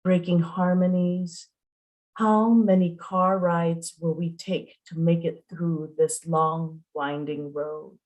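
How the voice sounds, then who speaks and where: A middle-aged woman poet performs at an open mic. She speaks slowly and deliberately, with an earnest manner. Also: lowish voice, little pitch movement, darkish tone, low-quality recording. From Singapore. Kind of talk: spoken introduction to open mic poetry. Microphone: online video call at home.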